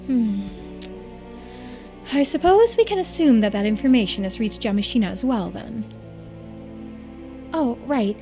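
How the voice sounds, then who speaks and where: A woman's voice: on the high side, lively intonation, a little slow, long pauses, warm tone, soft background music. A woman acting out video game dialogue as she reads it aloud on a playthrough. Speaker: streamer voicing a game playthrough.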